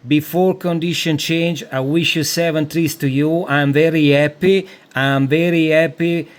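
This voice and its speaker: Higher-voiced Italian man working the radio. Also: fairly even intonation, a little slow. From Italy. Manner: repeats phrases for emphasis. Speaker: amateur radio operator. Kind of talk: radio contact chat in call signs and signal reports.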